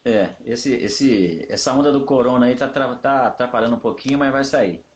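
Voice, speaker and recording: A middle-aged man, a pastor and speaker, chatting as a guest on a live video call without headphones, relaxed and easygoing. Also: medium pitch, normal speed. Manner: relaxed, easygoing, with short agreeing replies. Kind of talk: casual live-stream chat.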